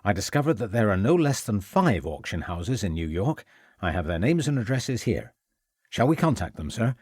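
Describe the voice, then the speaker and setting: A man's voice: lowish voice, sing-song, moderate pace, darkish tone, slightly rough, dry recording, studio quality. A man voicing a practical, doubtful male constable who speaks plainly. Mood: matter-of-fact.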